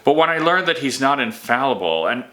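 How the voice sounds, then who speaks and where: A man's voice: mid-range voice, big pitch swings, brisk, sharp tone, voice pushed up. A man voicing a suspicious, weary king in a fantasy audiobook, questioning and emphatic. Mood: suspicious, insistent. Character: troubled, suspicious young king, weary and doubting.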